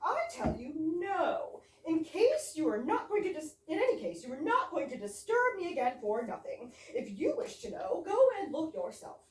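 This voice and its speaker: A woman's voice: sits high, big pitch swings, moderate pace, hardly any pauses. A woman acting an indignant, stubborn housewife in a staged comedy reading, arguing emphatically. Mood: insistent. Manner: emphatic, argumentative. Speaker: amateur readers theater actor. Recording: echoey room, far mic, background noise.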